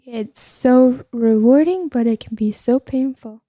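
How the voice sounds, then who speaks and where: A woman hosting a podcast, with a soft, high voice, speaking agreeably and affirming her guest. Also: a little slow, no echo, clean studio sound. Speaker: podcast host. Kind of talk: casual interview chat.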